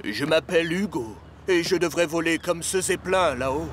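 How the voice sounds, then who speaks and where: An actor voicing a gentle, dreamy rail zeppelin in a cartoon dub, sighing and easily discouraged. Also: medium pitch, normal speed, slight background noise, close to an everyday voice. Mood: hopeful.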